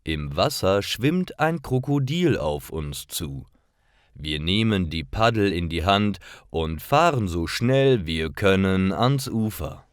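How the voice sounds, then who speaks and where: A man narrating simple scripted stories for language learners, reading carefully in clearly phrased short sentences. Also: sits low, lively intonation, slow pace, no echo, clean studio sound.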